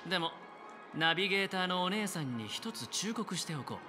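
A male actor playing a smooth, self-assured, sly young gambler in a Japanese video game, with theatrical, deliberate phrasing. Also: high-pitched, animated, over music.

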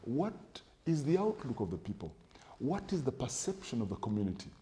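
A middle-aged man, a pastor, answering in a studio interview with a measured, emphatic preaching style, building points in lists and quoting scripture, on a lapel mic. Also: medium pitch, very animated, warm tone. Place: TV studio set with sofas.

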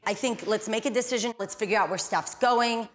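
A woman's voice: on the high side, fairly quick, fairly bright tone. Upbeat woman co-hosting a renovation show. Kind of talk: casual on-site chat and narration. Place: house under renovation, indoors.

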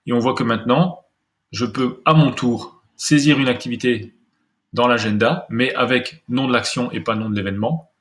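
A man's voice: medium pitch, moderate pace, a bit bright. A man presenting a screencast tutorial step by step, thinking aloud with some hesitation as he demonstrates.

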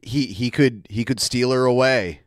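A man's voice: medium pitch, moderate pace, no room sound, studio quality. A man making casual reaction commentary as a podcast co-host.

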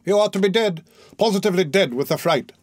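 A man reading as a portly old bachelor doctor, full of fuss and good humour. He speaks in raised, exclamatory bursts with a bright lift in pitch. The sound is dry and close. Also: fast talker, no room sound. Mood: flustered. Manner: excitable, flustered, blustering.

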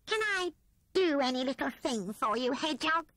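Woman putting on a high, meek little rat. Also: expressive, moderate pace, a bit bright, no echo. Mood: eager. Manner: supplicating, timid. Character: meek, pleading thin male rat thief.

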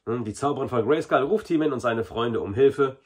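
Male, 40s, slightly rough-edged voice, informal vlog reviewer, chatty and familiar with viewers. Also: mid-range voice, fairly quick. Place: small indoor hobby room.

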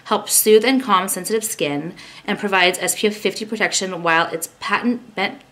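A woman's voice: mid-range voice, normal speed, a bit bright. Woman, 30s, chatty, rambling, self-deprecating, home vlog, camera at arm's length. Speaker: vlogger. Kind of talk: casual vlog chat with product talk. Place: bedroom at home, indoors.

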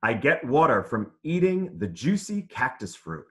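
A man's voice: medium pitch, very animated, a little slow, a bit bright. A man reading aloud and teaching young children in simple, encouraging, instructive phrases during an online lesson. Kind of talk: interactive children's read-aloud lesson over video call.

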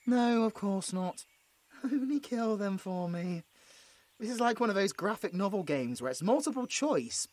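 A man's voice: high voice, full character voice. A British man playing a frightened, sarcastic, injured monster hunter in an audio roleplay, stammering as he placates his captor. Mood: sarcastic, resigned. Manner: stammering, pleading, with muttered sarcastic asides.

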